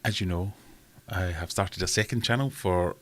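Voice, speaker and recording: A man's voice: low-pitched, moderate pace. A man hosting a casual livestream from home, rambling and self-deprecating as he reads out chat comments. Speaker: livestream host.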